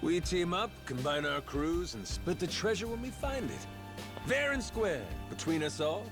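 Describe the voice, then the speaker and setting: A man's voice: high voice, expressive, moderate pace, gravelly. A man playing a brash, playful middle-aged pirate captain with a folksy, slangy drawl in a video game. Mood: amused, hearty. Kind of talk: video game cutscene acting. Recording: loud music behind the voice.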